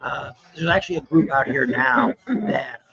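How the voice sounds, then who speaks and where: A slightly hoarse man with a cold hosts a casual live chat, rambling along with frequent "uh" fillers. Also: mid-range voice, expressive, normal speed, fairly bright tone, muffled recording. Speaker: livestream host. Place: restaurant at a motorcycle rally.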